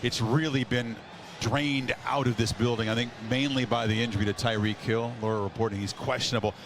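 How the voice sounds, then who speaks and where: A man's voice: mid-range voice, fairly even intonation, fairly quick. A man doing broadcast play-by-play commentary on a televised game from a stadium. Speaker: TV play-by-play announcer. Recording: noisy stadium broadcast audio. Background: stadium ambience.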